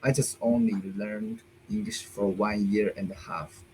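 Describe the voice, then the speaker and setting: A man's voice: lowish voice, big pitch swings, gravelly, mellow, a little hiss or hum, low-quality recording. Hesitant man, beginner English. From China. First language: Chinese. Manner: halting beginner English, hesitant, restarts and broken grammar. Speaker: English learner. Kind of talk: halting beginner conversation in a lesson. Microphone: video call.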